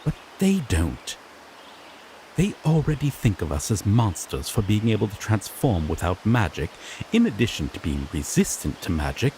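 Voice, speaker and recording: A man's voice: fairly low pitch, wildly swooping pitch, normal speed, darkish tone, slight background noise. A man playing a sassy, exasperated griffin knight in a fantasy audio roleplay, pompous one moment and sardonically grumbling the next. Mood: resigned, wry. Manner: pompous proclamations slipping into flustered, sardonic grumbling.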